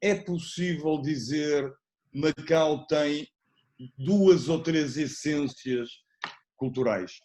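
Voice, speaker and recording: A man's voice: fairly high pitch, very slow, a little mellow. Man carefully framing a panel question. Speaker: moderator.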